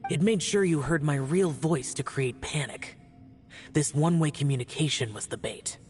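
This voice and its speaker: An actor playing a stoic, self-sacrificing immortal guardian warrior in a video game, speaking in a grave, measured, formal way. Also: male voice, a little high, a little mellow, soft background music, lightly acted. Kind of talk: dramatic video game dialogue.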